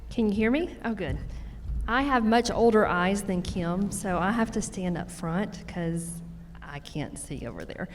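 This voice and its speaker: A woman's voice: medium pitch, expressive, measured pace, a little hiss or hum. A woman from Louisiana with a Louisiana Southern accent, a nurse practitioner giving a folksy conference talk that explains with everyday examples. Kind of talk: conference presentation. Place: conference hall.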